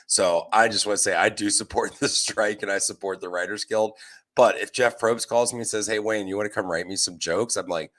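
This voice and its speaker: Low, bright, emphatic comedian man. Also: fairly even intonation, fairly quick. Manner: emphatic, exasperated riffing, loud. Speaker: stand-up comedian, co-host. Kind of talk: casual joking recap chat.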